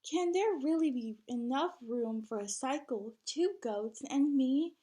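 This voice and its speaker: A worried little boy from a storybook, acted out by a woman reader, very high in pitch and slow in his words. He protests in a pleading, exasperated way. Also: animated, warm tone. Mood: doubtful. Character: worried, protesting young boy passenger in a picture book.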